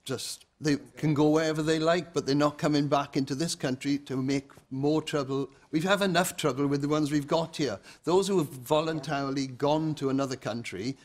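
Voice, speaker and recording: A man's voice: on the high side, normal speed, few pauses. A man speaking from a debate audience, giving a blunt, adamant opinion off the cuff. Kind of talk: spontaneous opinion.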